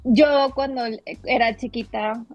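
A young woman from Mexico with a Mexican accent, talking as a guest on an interview podcast. Her voice is husky and sits a little high. She rambles warmly with fillers, laughs, and the recording is dull, with faint music behind. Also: steady tone, takes it slowly. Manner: chatty, laughs often, many fillers. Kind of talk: casual interview storytelling.

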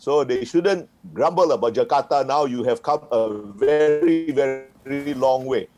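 A man's voice: mid-range voice, measured pace, darkish tone, soft background music. A man, a retired diplomat answering interview questions in a frank, wry way, often chuckling. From Singapore. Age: 60s.

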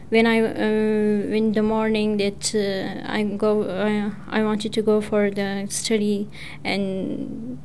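A young woman from Pakistan, Persian her first language, talks slowly in careful, hesitant English. Her voice stays level and even, with little rise or fall. A faint hiss of noise sits behind her. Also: medium pitch. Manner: hesitant, halting non-native English with fillers. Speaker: English student speaking on community radio. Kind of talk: prepared personal talk.

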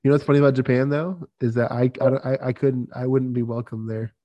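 A man's voice: medium pitch, steady tone, quick pace, warm tone, highs cut off, slight background noise. A man co-hosting a podcast, talking casually in a rambling way, laughing often and filling with "like". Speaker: podcast co-host. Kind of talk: casual chat.

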